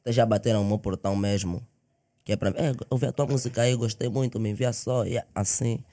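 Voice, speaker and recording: A man's voice: lowish voice, fairly even intonation, fast talker, mellow. A nineteen-year-old man, a rapper guest who answers bashfully, laughs often and dodges personal questions. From Angola. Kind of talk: casual interview chat.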